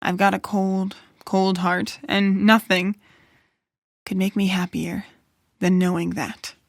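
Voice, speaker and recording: A woman's voice: medium pitch, flat delivery, slow pace, gravelly, no echo, studio quality. A woman voicing a moody, wry pony writer of detective stories in a noir-tinged audio drama. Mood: brooding, noir. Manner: moody, wry, self-deprecating. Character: world-weary, self-doubting female pony detective-story writer. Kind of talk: noir narration and dramatic dialogue.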